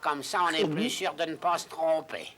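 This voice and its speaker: An actor playing a muddled, fussy court clerk in a cartoon, hesitant and stammering. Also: male voice, fairly high pitch, moderate pace, fairly bright tone, a little hiss or hum, lightly acted. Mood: flustered.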